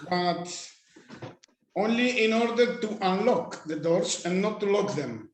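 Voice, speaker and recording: A man from Greece introducing himself on a video call, halting as he searches for English words. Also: high-pitched. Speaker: project member. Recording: roomy with faint noise.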